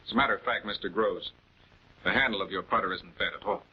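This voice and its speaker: An actor playing a composed, methodical male prosecutor, speaking with deliberate authority in a 1940s television crime drama. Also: lowish voice, husky. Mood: accusatory. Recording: dull 1940s film soundtrack.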